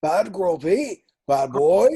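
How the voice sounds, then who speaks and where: A man playing a gruff, soft-hearted half-giant gamekeeper in a script read, folksy and protective. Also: high voice, lively intonation, slow pace, full character voice. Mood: fond, coaxing. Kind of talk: table read of a film script.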